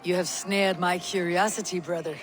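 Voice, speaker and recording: A woman's voice: fairly low pitch, fairly even intonation, moderate pace, crowd noise, close to an everyday voice. A woman playing a steadfast young Viking warrior in a video game, blunt, composed and dryly wry. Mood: friendly, curious. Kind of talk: cinematic video game acting.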